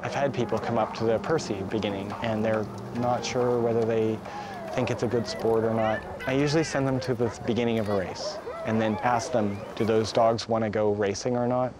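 A man in an interview, explaining in a relaxed, plain way. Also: on the low side, a little fast, noisy background. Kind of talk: casual interview.

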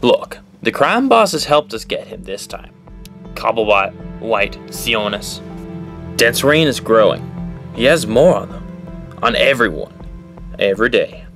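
An actor playing a worried, exasperated ally of a superhero in an audio drama, arguing urgently. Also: male voice, fairly low pitch, sing-song, unhurried, full character voice. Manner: urgent, argumentative. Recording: loud music behind the voice.

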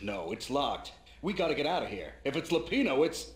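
An actor playing an alarmed, excitable cop in a crime video game, speaking in urgent exclamations. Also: male voice, medium pitch, a little fast, echoey room, heavy background noise, natural voice. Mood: anxious.

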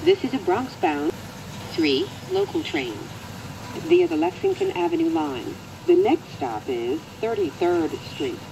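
An American woman reading scripted transit announcements in a measured, formal manner. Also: medium pitch, wildly swooping pitch, noisy background.

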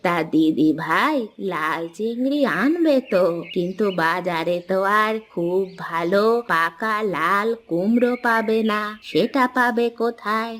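A woman voicing a wise, gentle old village grandmother in a cartoon, giving advice in a measured, authoritative manner. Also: medium pitch, animated. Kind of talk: animated cartoon storytelling dialogue.

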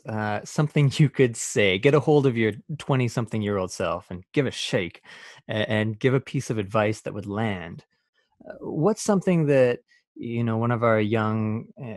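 A male podcast host with a low voice, recorded close and dry. He asks his questions softly and with some hesitation, and he backs the guest up with quiet murmurs. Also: no room sound. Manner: soft-spoken, encouraging, with quiet back-channel murmurs. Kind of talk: interview questions.

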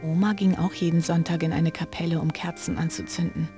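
A woman's voice: on the low side, level tone, fairly quick, warm tone, faint music behind, close to an everyday voice. An actress voicing an inquisitive teenage girl detective in a video game. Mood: reflective. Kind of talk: video game character dialogue.

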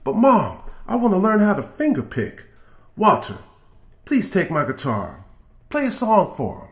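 A man voicing an eager, earnest young boy for a storybook reading, excited and pleading. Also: sits high, unhurried, voice pushed up, exaggerated voice. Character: eager young boy learning guitar, pleading with his mom.

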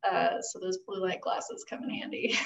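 A woman's voice: on the low side, level tone, moderate pace, vocal fry, fairly bright tone, far from the mic. A young woman explaining her work conversationally as a guest on a video call. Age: young adult. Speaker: guest, costume designer.

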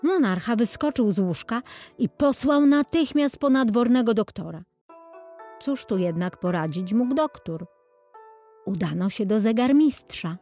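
Unhurried woman narrating a children's tale. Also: mid-range voice, darkish tone. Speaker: audiobook narrator. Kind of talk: scripted children's storybook narration.

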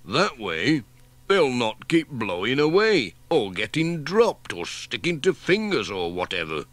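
Man playing a helpful, animated village handyman. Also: mid-range voice, measured pace, dry recording. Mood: helpful, practical. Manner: matter-of-fact, instructive. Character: practical, helpful village handyman with clever ideas.

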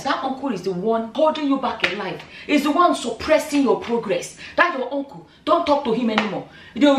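A woman in her thirties with a Nigerian accent, giving animated opinion commentary straight to camera, full of rhetorical questions. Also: fairly high pitch, a little hiss or hum. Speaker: vlogger. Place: bright room indoors, seated in chair. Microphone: far camera. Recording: roomy echo, mic at a distance.

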